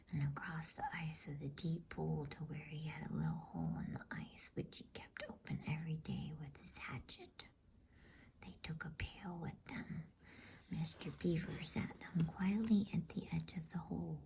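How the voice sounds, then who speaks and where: A woman storyteller reading a bedtime story in ASMR style with a soft, hushed whisper. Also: low voice, gravelly, breathy edge. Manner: soft whispering, soothing hush.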